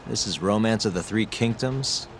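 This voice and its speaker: An actor with an American accent playing a cool, nonchalant detective with a dry, casual delivery in a video game. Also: male voice, on the low side, normal speed, noisy background, lightly acted.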